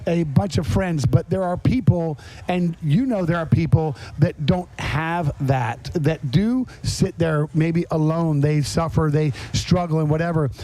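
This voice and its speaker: A man's voice: a little high, measured pace, few pauses, warm tone, poor audio. A man hosting a casual podcast interview, chatty and rambling, often repeating words as he builds up to a point. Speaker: podcast host.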